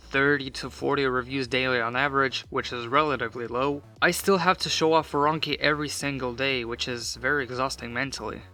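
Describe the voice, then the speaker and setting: A man's voice: medium pitch, sharp tone. A man, not a native English speaker, giving a casual scripted voice-over for his vlog in a matter-of-fact, self-deprecating way. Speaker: vlogger.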